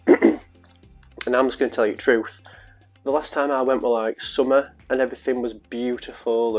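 A man's voice: mid-range voice, darkish tone. Chatty young Northern English man. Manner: chatty, playful, rambling with fillers. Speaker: vlogger. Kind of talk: casual chat to camera. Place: small room at home, later outdoors in a park. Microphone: lapel mic.

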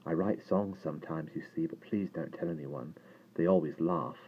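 A man's voice: deep voice, expressive, moderate pace, slight background noise. Man voicing a guileless English boy, muffled audio. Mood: feigned innocence. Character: earnest English boy feigning innocent foolishness.

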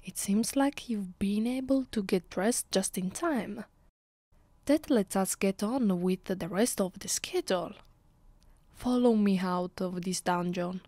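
A woman with an Italian accent playing an imperious, mocking ancient vampire mistress in an ASMR roleplay, speaking deliberately and often dropping into a whisper. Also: mid-range voice, mellow, no echo, lightly acted. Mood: condescending. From Italy. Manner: slow, haughty, deliberate with pauses, often breathy whispering. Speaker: ASMR voice actress. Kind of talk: ASMR roleplay, slow intimate delivery. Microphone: close, dry microphone.

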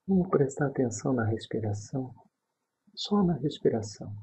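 A man with a Brazilian accent leading a guided sleep meditation, calm and unhurried, pausing between short phrases in a husky, breathy voice. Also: mid-range voice. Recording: dull, muffled lo-fi recording.

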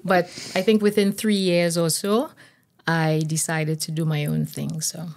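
An older woman speaks in a notably low voice. She explains things in a measured, conversational way, as a medical guest answering interview questions. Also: little pitch movement, gravelly, a little mellow. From Trinidad and Tobago. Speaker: guest pediatrician. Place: small indoor podcast studio. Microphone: boom-arm podcast microphone.

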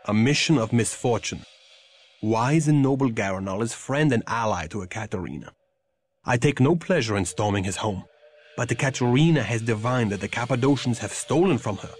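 An actor playing a grave, stern medieval vampire warrior and mentor, speaking in solemn archaic phrases for a video game. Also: male voice, medium pitch, lively intonation, normal speed, slightly rough. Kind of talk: theatrical video game acting.

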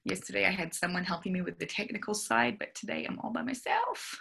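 Bright-voiced young woman hosting a webinar. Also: fairly low pitch, sing-song, fast talker, creaky. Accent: faded New Zealand. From New Zealand. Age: young adult. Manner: friendly, chatty, explanatory. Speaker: speech therapist presenting a webinar. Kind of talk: webinar presentation. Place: small room at home. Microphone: video call.

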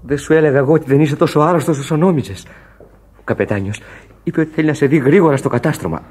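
An actor playing a loyal, rough sailor comforting his dying friend in a radio play. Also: on the high side. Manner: urgent, gently soothing.

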